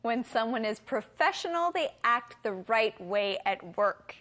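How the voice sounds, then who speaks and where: A woman's voice: fairly high pitch, lively intonation, unhurried, fairly bright tone. A woman co-hosting an English lesson, giving simple scripted explanations with careful enunciation. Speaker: co-host of an English lesson show.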